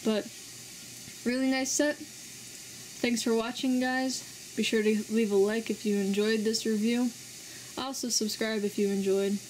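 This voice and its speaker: A woman casually reviewing a toy set as host of her channel, relaxed and plainly enthusiastic, pausing often. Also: fairly high pitch, slight background noise, rough recording. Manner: relaxed, plain enthusiasm with frequent filler pauses.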